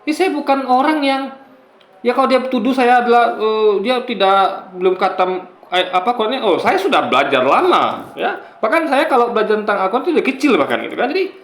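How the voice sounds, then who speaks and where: A man in his forties giving a casual, halting explanatory commentary to viewers, repeating himself and often checking that they follow. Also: very high-pitched, sing-song, fairly bright tone, roomy sound. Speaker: YouTuber.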